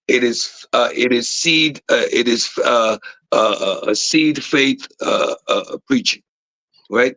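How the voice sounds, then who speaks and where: A man's voice: medium pitch, a bit bright, dry recording, rough recording. A man talking casually and emphatically, restarting often and checking in with "right?". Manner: emphatic, many restarts, keeps asking "right?". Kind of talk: casual discussion.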